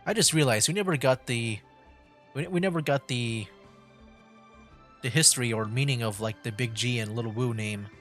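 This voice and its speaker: A man's voice: medium pitch, normal speed, pauses often, a bit bright, faint music behind. A man hosting a game livestream with casual commentary, musing aloud in a rambling way. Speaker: livestream gamer host.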